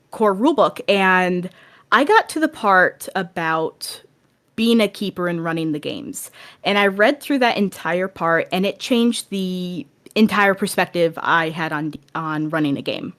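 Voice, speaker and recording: A woman's voice: on the low side, expressive, a little slow, a bit bright. A woman talking casually and enthusiastically as a guest on a podcast, in an earnest way.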